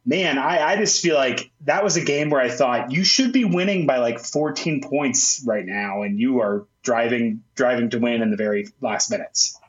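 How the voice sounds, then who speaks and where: A man's voice: medium pitch, animated, normal speed, few pauses, reverberant, lo-fi sound. A man from Memphis co-hosting a sports podcast, talking casually in a nasal voice. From Memphis, USA. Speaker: co-host.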